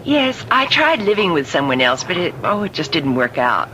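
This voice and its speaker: Deferential secretary, modest, hesitant, lively pitch movement, vintage radio drama, noisy recording. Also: female voice, moderate pace. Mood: polite, friendly. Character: polite, modest female secretary to a warden. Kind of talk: old-time radio drama acting.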